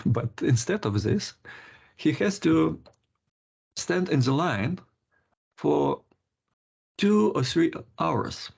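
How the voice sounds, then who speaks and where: Older man, interview guest, very gravelly husky voice, halting delivery, muffled call audio. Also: on the high side, expressive, darkish tone. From Lithuania. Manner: halting, many restarts and laughs. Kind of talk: casual interview.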